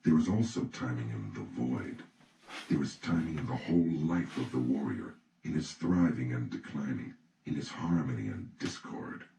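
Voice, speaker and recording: Very deep, gravelly man reading aloud. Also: lively intonation, moderate pace, mellow, soft background music. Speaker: audiobook reader. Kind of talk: scripted audiobook reading. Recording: roomy, distant mic, faint noise.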